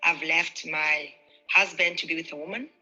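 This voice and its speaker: A woman's voice: low-pitched, steady tone, normal speed, sharp tone, faint music behind, rough recording. A woman from Africa speaking firmly and self-assuredly about her own life.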